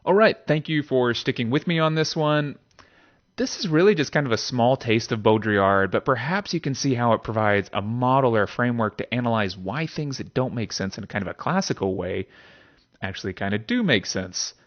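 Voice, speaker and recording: A man's voice: mid-range voice, expressive, brisk. A man hosting a podcast, explaining philosophy in a conversational lecturing monologue. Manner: conversational lecturing, rhetorical check-ins. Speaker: podcast host. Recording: dull lo-fi audio over music bed. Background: background music.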